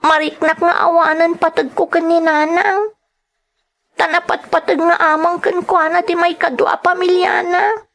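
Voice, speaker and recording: A woman's voice: very high-pitched, flat delivery, unhurried, a bit bright, exaggerated voice. A woman playing a poor, hurt but determined young schoolgirl in an Ilocano drama, with a Filipino Ilocano accent and a plaintive, pleading delivery. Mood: tearful prayer. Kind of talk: Ilocano radio-style drama acting.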